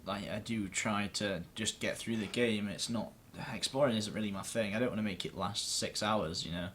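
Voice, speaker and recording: A man's voice: on the low side, moderate pace, a bit bright, slight background noise. A man giving casual, offhand let's play commentary, muttering to himself and swearing now and then. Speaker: gamer, let's play host.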